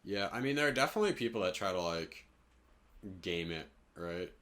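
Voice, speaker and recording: Young adult man, very low pitch, casual sweary podcast chat. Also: animated, a little fast, fairly bright tone. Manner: informal, sweary. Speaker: podcast co-host.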